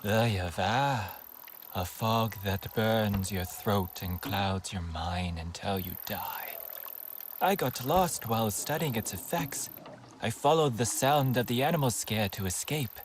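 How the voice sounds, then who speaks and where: A nervous, curious alien scholar in a video game. His delivery is hesitant and swings widely in pitch, as if thinking aloud. There is faint noise behind him. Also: animated, slow. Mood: musing.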